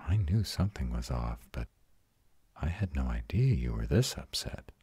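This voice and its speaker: A man voicing a tender, protective male vampire in an intimate, hushed audio roleplay, soothing and husky. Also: sing-song, moderate pace, no room sound, exaggerated voice. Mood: reassuring. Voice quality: very deep, husky, often breathy whispering. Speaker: voice actor.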